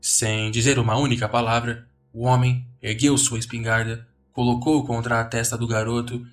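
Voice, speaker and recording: A man's voice: medium pitch, level tone, moderate pace. Brazilian man narrating horror over music. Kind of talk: dramatic scripted horror narration.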